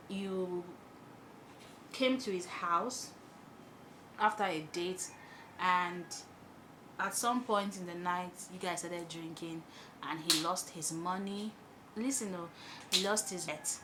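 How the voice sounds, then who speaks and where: A young woman with a Nigerian accent telling an animated story, chatting as she does her makeup. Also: on the low side, a little hiss or hum, low-quality recording. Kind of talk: storytime while doing makeup.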